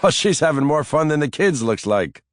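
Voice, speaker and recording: A man's voice: fairly high pitch, big pitch swings, moderate pace, no room sound, studio quality, voice pushed up. A man putting on a wry old grandfather. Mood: chatty. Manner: chatty, lilting, mischievous. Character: elderly Irish grandfather, wry and teasing.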